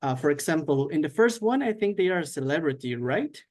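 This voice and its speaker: A man's voice: on the high side, sing-song, brisk, a little mellow, a little hiss or hum. A young man from Mexico, a student answering oral exam questions in English with hesitant fillers.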